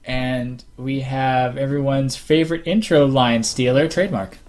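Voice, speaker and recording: Teasing podcast co-host, mid-pitched voice. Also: fairly even intonation, a little slow, a little mellow. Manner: teasing, joking. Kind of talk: casual banter.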